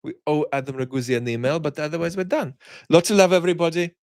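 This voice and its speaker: A man hosting an online chat, talking reflectively and conversationally in articulate, opinionated phrases, often pausing and restarting words as he searches for the right one. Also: on the high side, steady tone, darkish tone, dry recording, clean studio sound. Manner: halting, searching phrasing with repeated word starts. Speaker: host of a live chat for an online community.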